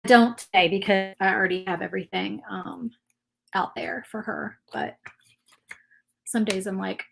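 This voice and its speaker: A woman's voice: mid-range voice, steady tone, normal speed, vocal fry. A woman from Virginia with an Appalachian accent, chatting casually and rambling to viewers while she paints on a craft livestream. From Virginia, USA. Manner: chatty, rambling, reads viewer comments aloud. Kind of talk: casual live craft stream chat.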